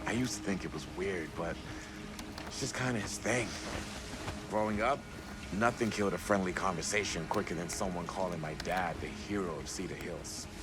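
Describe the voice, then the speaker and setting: A man's voice: low-pitched, normal speed, music in the background, natural voice. An actor playing a cautious, easygoing young American man guiding his friends in a video game cutscene. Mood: subdued, reflective. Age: young adult.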